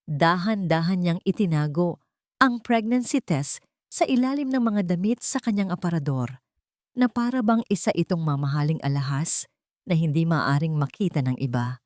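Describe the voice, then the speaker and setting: Low-voiced woman narrating, dry recording. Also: animated, moderate pace, a little mellow, dry recording. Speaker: storyteller, narrator. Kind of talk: scripted dramatic romance story narration.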